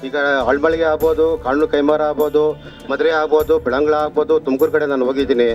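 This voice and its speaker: A man making a halting, repetitive, pleading appeal outdoors. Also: a little high, flat delivery, darkish tone, faint music behind. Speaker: local resident.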